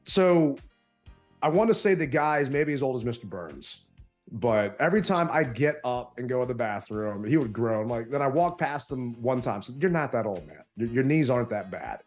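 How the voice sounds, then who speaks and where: A man with an average-pitched voice who chats casually as a podcast co-host. He tells his stories in an animated way, and his voice sounds a little strained from yelling. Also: normal speed, faint music behind. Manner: animated storytelling. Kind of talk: casual sports chat.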